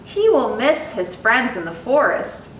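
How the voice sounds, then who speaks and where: In a roomy, slightly distant recording, a woman plays a patient storybook mother. She questions gently in a high, singsong voice that swings widely in pitch. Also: sing-song, a little slow. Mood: questioning, concerned. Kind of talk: children's storybook reading. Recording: echoey room, distant mic, faint rumbling noise.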